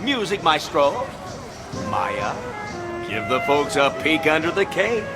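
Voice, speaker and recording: A man playing a brash carnival pitchman in a radio drama, hard-selling a girlie show with showy, folksy patter. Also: big pitch swings, slow pace, vocal fry, a loud crowd, exaggerated voice. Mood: showy, coaxing. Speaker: carnival barker. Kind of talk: sideshow barker patter, radio drama acting. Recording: radio drama with prominent music behind. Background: loud music.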